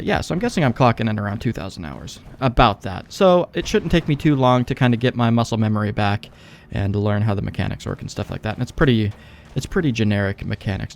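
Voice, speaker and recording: A man's voice: lowish voice, gravelly, a little mellow, a little hiss or hum. A young man giving rambling, laid-back, sweary let's play commentary as he games. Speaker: gaming YouTuber, let's play host.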